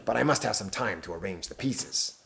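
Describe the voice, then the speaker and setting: A man's voice: fairly low pitch, animated, a little fast, vocal fry, a bit bright, slight background noise. A man doing a smug, scheming bishop. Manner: terse, smug, confident. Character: scheming male bishop in disguise, smug and heartless.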